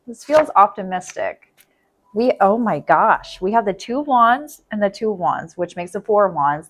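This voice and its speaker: A woman reading tarot in a casual, chatty way, thinking aloud and repeating herself, her voice going hoarse. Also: medium pitch. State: losing her voice. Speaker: tarot reader.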